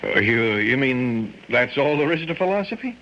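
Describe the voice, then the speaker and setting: A muffled radio drama recording. a resourceful schemer, persuasive and insinuating, with a mid-range voice that moves up and down in a very lively way. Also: male voice, mid-range voice, moderate pace, slight background noise. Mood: bashful, ingratiating. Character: resourceful, smooth-scheming man feigning bashful helpfulness. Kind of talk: old-time radio drama acting.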